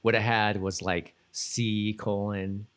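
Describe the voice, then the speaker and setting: A man's voice: on the low side, level tone, slow, clear, resonant tone. A man co-hosting a podcast in casual, rambling chat with lots of hedging, sometimes reading notes aloud. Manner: rambling, hedging, often saying "like". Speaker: podcast co-host.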